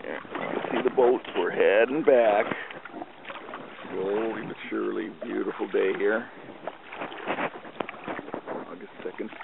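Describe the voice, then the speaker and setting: A man's voice: lowish voice, steady tone, slow, creaky, long pauses, narrow, tinny audio. A man narrating his own home video casually, in a laid-back, understated way, outdoors on a handheld camera. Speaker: amateur sailor filming home videos. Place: outdoors on a sailboat and lakeshore. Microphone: handheld camera microphone. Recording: wind-battered handheld camera, boat noise.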